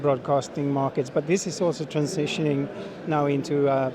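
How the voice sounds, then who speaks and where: A man's voice: on the high side, fairly even intonation, moderate pace. Middle-aged man over busy crowd noise. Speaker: sales manager being interviewed at a trade show. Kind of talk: unscripted interview answers. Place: busy exhibition hall.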